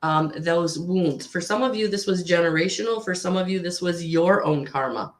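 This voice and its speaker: A woman giving a live tarot reading to viewers, with a husky voice, slightly hoarse after a recent illness, speaking in a soothing, repetitive way with frequent ums. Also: lowish voice, normal speed. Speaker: tarot reader.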